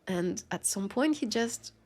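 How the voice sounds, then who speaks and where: A woman with a French accent playing a world-weary, guarded immortal vampire detective in an audio drama, speaking haltingly and confidingly with a creaky, breathy voice that slips toward whispers. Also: medium pitch, lively intonation, darkish tone. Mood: grieving, pained. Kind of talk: intimate roleplay audio drama monologue.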